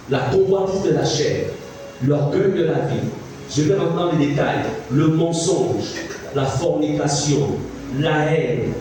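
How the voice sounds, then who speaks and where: Animated preaching man in his fifties. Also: on the high side, background noise. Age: 50s. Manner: emphatic, repeats phrases, asks the congregation questions. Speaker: pastor. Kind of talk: preaching a sermon. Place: church. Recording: echoey church sound from a distant mic with music.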